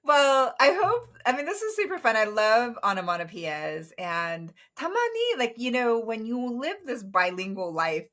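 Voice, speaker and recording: A young woman co-hosting a casual bilingual chat, relaxed and laughing often as she explains words. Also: a little high, normal speed, hardly any pauses. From grew up in an English-speaking home with Japanese spoken in the household. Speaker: co-host.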